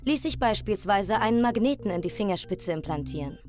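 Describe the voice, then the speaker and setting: A woman's voice: medium pitch, lively intonation, brisk. A woman reading a scripted documentary voice-over in pronunciation. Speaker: documentary narrator.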